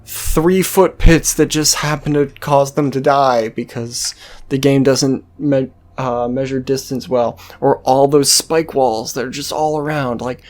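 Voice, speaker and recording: Slow-paced man rambling casually about games. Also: medium pitch. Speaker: gaming video host. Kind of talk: casual unscripted game commentary.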